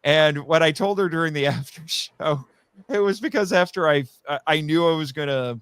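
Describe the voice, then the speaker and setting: A man talking casually as a guest about his writing, telling stories in an animated way and acting out quotes. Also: fairly high pitch, moderate pace, clean tone, no room sound. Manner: animated storyteller, acts out quotes. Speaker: guest, audio drama writer. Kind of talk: casual chat.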